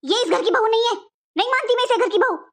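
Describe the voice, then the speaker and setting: A woman's voice: very high voice, fast talker, fairly bright tone. A woman voicing an older mother-in-law in a cartoon family melodrama, speaking emphatically and repeating herself. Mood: stern, rejecting. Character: distressed older mother-in-law, stern then pleading for family peace.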